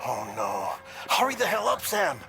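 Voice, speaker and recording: A man's voice: a little high, wildly swooping pitch, moderate pace, vocal fry, over music, exaggerated voice. An actor playing an anxious, lonely, eccentric man in a cinematic video game, wordy and hesitant, fretful one moment and tender the next. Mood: panicked.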